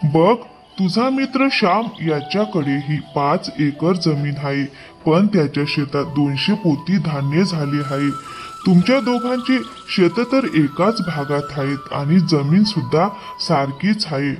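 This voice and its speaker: A man voicing an inquisitive older village uncle in an animated story, talking in a familiar, probing way. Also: on the high side, a little slow, faint music behind. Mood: curious. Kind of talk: animated moral story dialogue.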